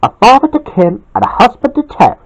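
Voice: medium pitch, sing-song, brisk, voice pushed up, rough recording. An amateur storyteller playing a needy male agent who talks in a childlike, earnest way. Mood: pleased. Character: sad, needy male agent wanting a playmate.

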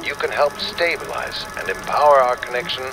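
An actor playing a serene, grandiose cult founder giving a scripted, salesmanlike address in a game. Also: male voice, medium pitch, fairly quick, close to an everyday voice, rough recording. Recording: music behind the voice. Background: music.

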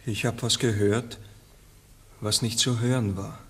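An actor playing an uneasy, brooding farmer and father who speaks haltingly in a 1960s radio play. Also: fairly low pitch, fairly even intonation. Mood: haunted, resolute. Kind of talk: 1960s German-language radio play acting. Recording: noisy old radio recording, tableware clinking.

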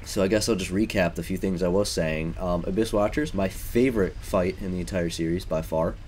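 A man's voice: sits low, brisk, darkish tone, a little hiss or hum. A young man giving casual, rambling commentary while playing a video game, with lots of ums and likes. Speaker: let's play gamer.

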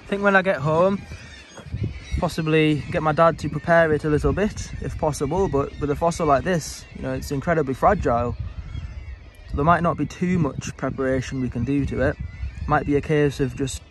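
A man's voice: a little high, a little fast, slight background noise, low-quality recording. A young man from England, a fossil hunter, narrating a casual vlog on a rocky beach and explaining his finds with enthusiasm. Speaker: fossil hunter, channel host.

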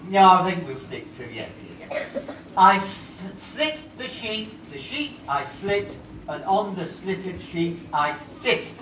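A woman's voice: on the low side, sing-song, slow. A woman playing a grand but kindly royal announcer in a live pantomime, formal and instructive. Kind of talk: live pantomime stage acting. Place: theatre stage, recorded from afar. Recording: distant echoey theatre with audience noise.

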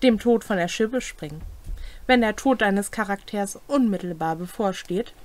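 A woman's voice: mid-range voice, normal speed, darkish tone. A young woman co-hosting a casual chat, reading out and commenting on game rules. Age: young adult. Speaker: co-host presenting game rules. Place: small cluttered home room.

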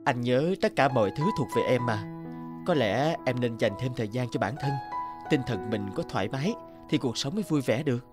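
An actor plays an attentive old flame in a cartoon romance. His male voice is tender and polite, with a wistful air and clear background music. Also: medium pitch, expressive, a little fast, close to an everyday voice. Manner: tender, courteous. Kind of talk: animated cartoon dialogue.

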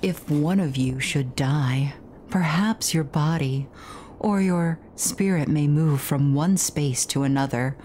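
Woman voicing a slow, solemn ghostly spirit. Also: sits low, mellow, slight background noise. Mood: grave warning. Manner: measured, formal, portentous. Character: solemn, cryptic ghostly spirit warning of danger. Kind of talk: reading horror game dialogue aloud.

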